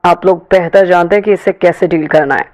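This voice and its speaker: A woman's voice: on the low side, a little fast, natural voice. Woman playing an arrogant rich young man, threatening. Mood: commanding. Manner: sharp, commanding, cocky.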